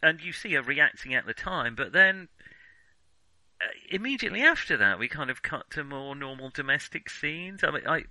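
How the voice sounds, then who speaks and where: A man in a casual film discussion, talking in a halting way and often correcting himself mid-sentence. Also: a little high, very animated, bright, forceful tone, no room sound, rough recording. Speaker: film podcast co-host.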